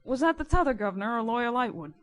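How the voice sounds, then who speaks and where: A woman voicing a rough, sly, boastful working-class riverman informer in an audiobook, with insistent colloquial speech. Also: on the high side, animated, fast, pitched above the performer's own voice, natural voice. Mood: wary, bargaining. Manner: colloquial, insistent, nonstandard grammar. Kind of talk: dramatic audiobook character reading.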